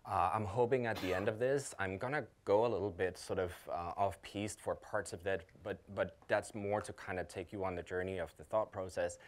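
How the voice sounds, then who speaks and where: Danish man, thirties, very deep voice, relaxed rambling speaker with lots of fillers. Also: moderate pace, hardly any pauses, a little hiss or hum. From Denmark. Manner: casual, many fillers and restarts. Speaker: conference speaker. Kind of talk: conference talk. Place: conference hall.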